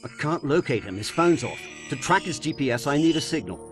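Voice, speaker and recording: An actor playing a dutiful British intelligence aide in a video game, reporting in a measured, businesslike way. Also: male voice, on the high side, animated, music in the background, close to an everyday voice. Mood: concerned.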